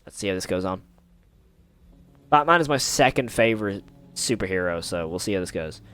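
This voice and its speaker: A man's voice: sits low, lively intonation, a little fast, a bit bright. A man hosting a reaction video, giving casual, rambling, informal commentary with some mild swearing.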